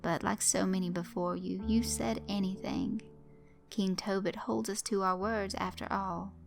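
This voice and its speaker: A woman's voice: mid-range voice, fairly even intonation, unhurried, creaky, faint music behind. A woman voicing a formal, ominously polite male master of games who speaks with precise courtesy.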